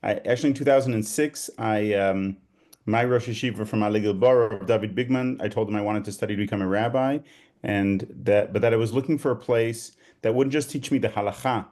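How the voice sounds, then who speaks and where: A rabbi from Israel with a mostly American-sounding Israeli accent, giving an informal talk over a video call, pausing often with "um" and "uh". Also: male voice, lowish voice, a little mellow. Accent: Israeli, with an American tinge. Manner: hesitant, with many fillers and restarts. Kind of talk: informal talk to a small group.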